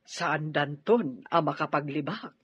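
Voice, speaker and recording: A woman's voice: low-pitched, a little slow, breathy edge, exaggerated voice. An actress playing a protective, stern middle-aged mother in a radio drama, giving firm advice. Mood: stern, indignant. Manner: firm, advising. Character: protective, stern mother urging her daughter to retaliate. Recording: dull lo-fi, dry radio drama audio.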